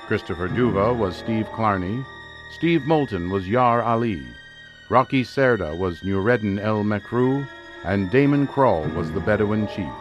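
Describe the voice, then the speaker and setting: A man announcing a radio drama in a formal, measured, scripted style. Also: fairly low pitch, expressive, lo-fi sound. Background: music.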